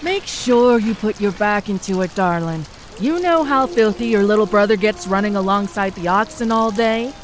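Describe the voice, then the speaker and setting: A woman's voice: mid-range voice, moderate pace, hardly any pauses, natural voice. A woman playing a stern, folksy frontier mother who scolds, in an audio drama. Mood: brisk, nagging. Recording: rain and water noise behind.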